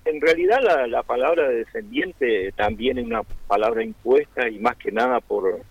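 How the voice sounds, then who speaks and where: A man's voice: mid-range voice, normal speed, breathy edge, no echo, dull audio. A man from Argentina with an Argentine Spanish accent, explaining things earnestly in a radio interview, with many fillers and restarts. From Argentina (Chubut). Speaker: interviewee, Mapuche-Tehuelche community member.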